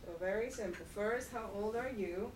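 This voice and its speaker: Patient woman teaching English in echoey classroom. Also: medium pitch. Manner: slow, patient, repeats phrases for learners. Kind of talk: classroom instruction. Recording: echoey room, far mic, noisy.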